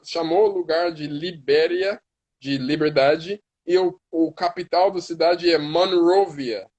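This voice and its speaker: A man with an American accent, a native English speaker, talking as a guest on a live stream and often restarting words as he searches for them. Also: a little high. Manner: halting, restarts words while searching in Portuguese. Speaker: guest, hip hop artist. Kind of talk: casual live-stream conversation.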